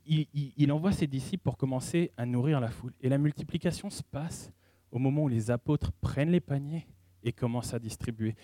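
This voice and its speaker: A man preaches in an easygoing, conversational way, often hesitating and restarting his phrases. His voice sits somewhat high for a man but has a mellow, dark tone. The sound is dry and close, with no room echo. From French-speaking. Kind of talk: sermon.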